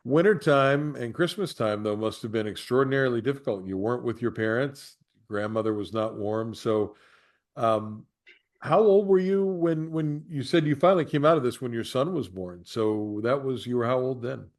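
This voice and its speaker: A man hosting an interview, upbeat and steering the talk with questions and quick summaries. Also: medium pitch.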